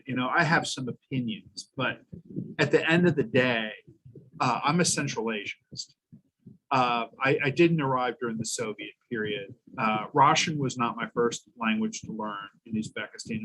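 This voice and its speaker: Husky guy leading a book talk, blunt and emphatic. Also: mid-range voice, fairly bright tone, poor audio. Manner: frank, emphatic, asks "okay?". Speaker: translator and discussion leader. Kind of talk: discussion talk.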